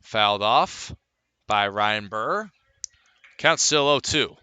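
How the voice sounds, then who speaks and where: Bright, crisp American baseball play-by-play man. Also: lowish voice, animated, slow pace, no echo. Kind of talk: live radio sports commentary.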